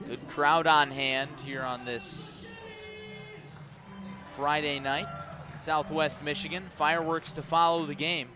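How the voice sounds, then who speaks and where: Guy doing baseball radio play-by-play, slightly high voice, music going behind him at an outdoor ballpark. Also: little pitch movement, slow pace, long pauses. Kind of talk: live radio sports commentary.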